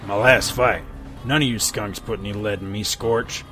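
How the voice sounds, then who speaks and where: A man voicing a gruff, scarred bounty hunter of the old West with terse, drawling frontier talk. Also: fairly low pitch, steady tone, moderate pace, pushed and bright, soft background music.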